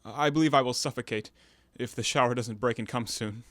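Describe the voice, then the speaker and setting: A man's voice: medium pitch, brisk, a bit bright, no echo, natural voice. An actor in an audio play, playing a timid, submissive man who answers in a reserved, uneasy and evasive way. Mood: uneasy, guarded. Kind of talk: stage-style audio play dialogue.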